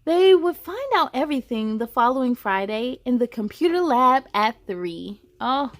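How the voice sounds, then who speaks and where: Female children's read-aloud host, high voice, expressive storybook delivery, engages young listeners directly. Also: normal speed.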